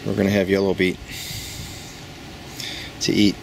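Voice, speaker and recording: A man with a fairly low voice chats his way through his backyard garden, rambling in a folksy tone as he thinks aloud. He speaks into a handheld phone outdoors, with faint background noise behind him. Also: completely flat delivery, measured pace, creaky, a little mellow. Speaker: home gardener. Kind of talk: casual garden walkthrough. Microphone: handheld phone camera.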